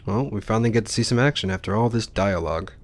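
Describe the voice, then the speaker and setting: Low-voiced man casually commenting over a game. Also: fast talker, a little hiss or hum. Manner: wry, joking asides. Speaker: let's play host.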